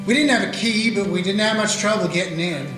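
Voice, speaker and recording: A man playing a small-town Old West sheriff in a radio play, also narrating in a plain, calm folksy way. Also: high-pitched, a little fast, music in the background, close to an everyday voice. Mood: steady. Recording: echoey room.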